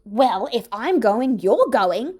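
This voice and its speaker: A woman voicing a cheeky, stubborn young male hero in a story reading, lively and argumentative. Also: a little high, slow pace, breathy edge, heavily acted. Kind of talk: dramatic fan fiction reading.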